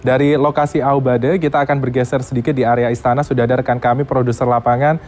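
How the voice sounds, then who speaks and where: A man's voice: mid-range voice, flat delivery, fast, darkish tone, soft background music. A man anchoring live news in a formal, polished way, handing over to reporters.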